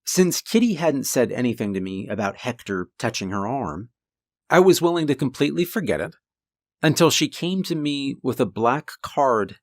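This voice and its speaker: A man reads a first-person horror tale with a deep voice, speaking calmly and conversationally, with casual asides. His voice sits at an ordinary middle pitch. The sound is close and dry, with no room around it. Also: normal speed, dry recording. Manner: calm conversational storytelling with asides. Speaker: horror story narrator.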